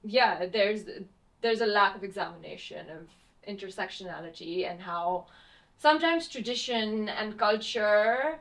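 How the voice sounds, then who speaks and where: A young woman from Pakistan, a playwright, answering interview questions in a thoughtful, halting way with many fillers. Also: mid-range voice, expressive, fairly bright tone. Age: young adult. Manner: hesitant, many fillers and restarts.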